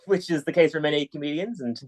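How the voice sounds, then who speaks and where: Chatty young Irish man. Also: on the high side. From Ireland. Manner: relaxed, chatty, quick to laugh, heavy "like" filler. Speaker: comedian guest. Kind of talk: casual podcast interview.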